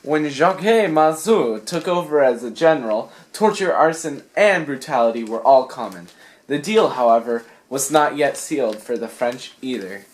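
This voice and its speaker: A man's voice: mid-range voice, expressive, moderate pace. A young man reading scripted history narration for a student puppet show. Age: young adult.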